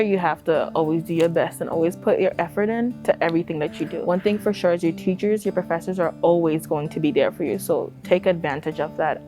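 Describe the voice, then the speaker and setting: Earnest lower-voiced female student. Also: warm tone. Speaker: senior biology student. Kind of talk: promotional testimonial. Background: faint music.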